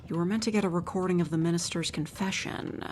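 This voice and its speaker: A woman playing a concerned, practical ally in a fantasy audio roleplay, with a breathy voice. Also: lowish voice, fairly even intonation, quick pace, a little mellow, a little hiss or hum, close to an everyday voice. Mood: businesslike, caring.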